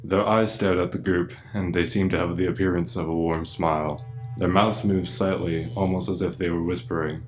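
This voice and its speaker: A man's voice: low-pitched, steady tone, normal speed, low-quality recording. A man reading scripted story narration as the narrator of a radio play. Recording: faint music behind.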